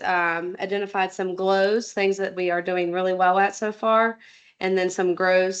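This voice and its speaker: A woman gives a school report to a board, speaking into a table microphone in a public meeting room. Her voice is smooth and clear, and she keeps a flat, even pitch as she reads through lists with a few ums. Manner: steady, reads out names and lists, with frequent ums. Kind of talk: presenting a report from slides.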